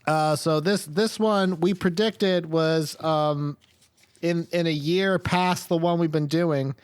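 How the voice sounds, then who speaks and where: A man co-hosting a comedy podcast, cracking deadpan jokes and slipping into silly impressions. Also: fairly high pitch, takes it slowly, smooth, dry recording. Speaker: podcast co-host. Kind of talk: casual comedic chat.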